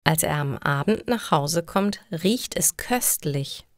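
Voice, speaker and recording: A woman narrating simple scripted stories for language learners, speaking deliberately and enunciating carefully. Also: low voice, expressive, dry recording, clean studio sound. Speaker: storyteller for German learners.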